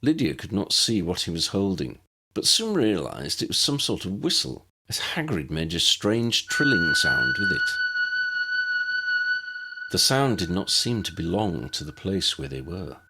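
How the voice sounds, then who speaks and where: A man's voice: low-pitched, sing-song, measured pace, background noise. A man reading scripted story narration as an audiobook narrator.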